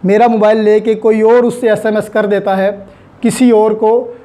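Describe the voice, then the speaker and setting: Mellow-voiced Pakistani man lecturing. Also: sits high, level tone, measured pace. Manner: didactic, poses questions and answers them; mixes in English terms and phrases. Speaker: law lecturer. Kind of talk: explanatory lecture with examples.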